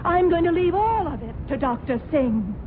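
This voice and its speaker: An actress playing a wealthy, naive wife in an old radio drama, sweet at heart but quick to turn indignant. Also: female voice, very high-pitched, sing-song, over loud music. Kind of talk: 1970s radio drama acting. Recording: tinny old radio broadcast.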